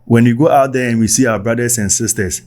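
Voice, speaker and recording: A Ghanaian man with a Ghanaian accent, casually telling personal stories for his vlog, animated and repeating himself for emphasis. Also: medium pitch, quick pace. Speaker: vlogger.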